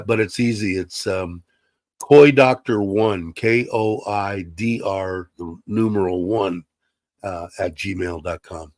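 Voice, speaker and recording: A man's voice: fairly low pitch, dry recording. A man hosting a casual livestream from his computer, chatty and rambling with many fillers, sounding slightly congested. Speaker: livestream host. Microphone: computer mic.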